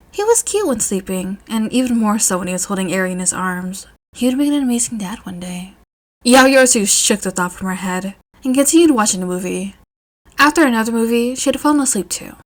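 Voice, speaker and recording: Woman narrating a story, mid-pitched. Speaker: podfic narrator.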